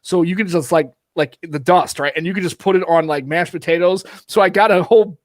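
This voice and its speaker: A man's voice: high-pitched, brisk, dry recording, studio quality. A man hosting a podcast, chatting loosely with lots of restarts and informal asides. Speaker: podcast host. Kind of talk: casual podcast chat.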